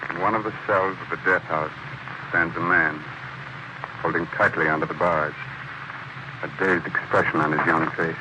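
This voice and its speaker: A man narrating a 1940s radio drama in a grave, measured way. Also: low-pitched, fairly even intonation. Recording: noisy old radio broadcast.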